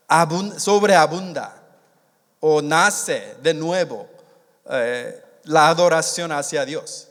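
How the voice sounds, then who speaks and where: A man born in Korea and raised in the United States, preaching a sermon in a halting way, with many restarts, and stretching out the key words. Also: fairly high pitch. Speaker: missionary preacher. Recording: long hall reverb.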